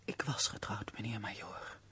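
An actress playing a melancholy, reserved gentlewoman in a period radio play, speaking in a formal, hushed, sorrowful way. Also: medium pitch, slow pace, husky. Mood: pained, guarded.

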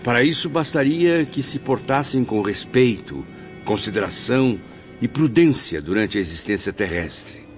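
An actor in a radio drama playing an earnest, inquiring spirit apprentice, speaking eagerly in formal, theatrical phrases. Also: male voice, mid-range voice, lively intonation, moderate pace, natural voice. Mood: earnest, reflective. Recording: muffled radio drama recording, faint music.

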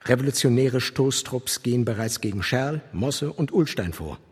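An actor playing an older fiery socialist leader in a radio drama, grim and emphatic, with a very raspy voice. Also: male voice, mid-range voice, expressive, moderate pace. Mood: grim, militant. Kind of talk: German radio drama acting. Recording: long hall reverb.

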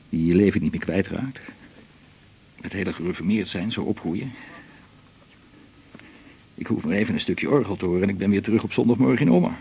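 An actor playing a melancholy man in his forties in a radio drama, with a gravelly, husky voice, confiding in a halting, reflective way. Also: fairly low pitch, mellow. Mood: confiding, troubled. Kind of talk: naturalistic radio drama dialogue.

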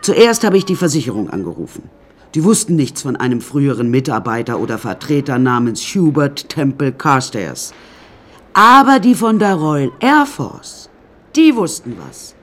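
An actor playing a wry, rambling storyteller who recounts a troubled childhood in a radio drama monologue, with hesitant asides. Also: male voice, medium pitch, sing-song, moderate pace. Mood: wry, reminiscing.

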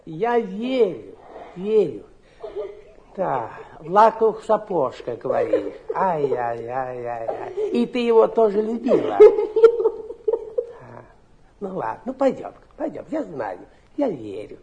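Soft mellow old grandpa, voice rising and falling a lot, coaxing and kindly, bit of noise. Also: male voice, on the high side, sing-song, takes it slowly, muffled recording, heavily acted. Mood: soothing, tender. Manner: gentle, coaxing, repetitive reassurance. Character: kindly, soothing old grandfather comforting a distressed woman. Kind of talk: theatrical stage acting.

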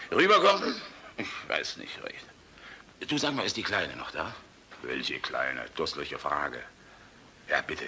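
A man's voice: low-pitched, creaky, a little hiss or hum, full character voice. An actor in a German radio play voicing an old, world-weary, passionate general, blustering and fatherly in a rhetorical manner. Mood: softened, musing. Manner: blustering, rhetorical, swinging from outbursts to hushed musing. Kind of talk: German radio drama acting.

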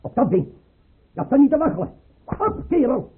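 An actor playing a capricious tyrannical tsar in a Dutch radio play, with clipped orders and muttered asides. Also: male voice, extremely high pitch, big pitch swings, moderate pace, slightly rough, narrow, tinny audio, exaggerated voice. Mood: imperious, enraged. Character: capricious tyrannical tsar, obsessed with drill and buttons. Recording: band-limited old radio play recording.

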